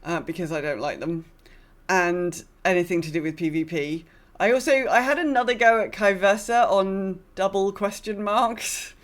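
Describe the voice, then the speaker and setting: A woman's voice: medium pitch, moderate pace. A woman podcast host with a mid-range voice talks casually about gaming. She rambles along in a self-deprecating, conversational way, as if chatting with a regular audience.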